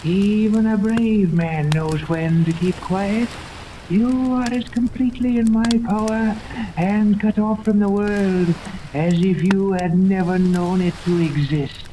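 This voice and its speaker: A woman's voice: medium pitch, takes it slowly, dark tone, lightly acted. An actress playing an imperious, menacing female villain in a 1930s radio serial, speaking with haughty, commanding, formal diction. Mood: gloating. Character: imperious, menacing woman villain with a paralyzing ray gun. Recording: noisy old 1930s radio recording.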